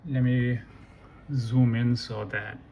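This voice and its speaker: A man giving a casual, unscripted software walkthrough in a halting way, thinking aloud and correcting himself. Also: medium pitch, little pitch movement, creaky, dull audio. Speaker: tutorial presenter. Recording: noisy room with keyboard typing. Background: computer keyboard clicks, ambient noise.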